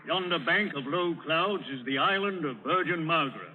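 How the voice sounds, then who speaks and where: A man's voice: a little high, animated, normal speed, narrow, tinny audio, close to an everyday voice. An actor playing a dutiful, alert ship's captain with a deferential seaman's manner in an old radio drama. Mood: watchful. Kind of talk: 1930s-40s radio drama acting. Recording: noisy, band-limited old radio broadcast.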